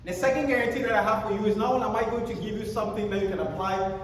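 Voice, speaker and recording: A Cameroonian man in his thirties delivers a keynote with a storyteller's lively touch and wry jokes at his own expense. His voice sits a little high for a man. He is picked up from across a large hall, so his words come with a long, booming echo. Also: racing, a little hiss or hum. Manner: animated storytelling with self-deprecating jokes. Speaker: conference speaker. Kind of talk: motivational keynote talk. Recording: echoey hall, speaker far from mic.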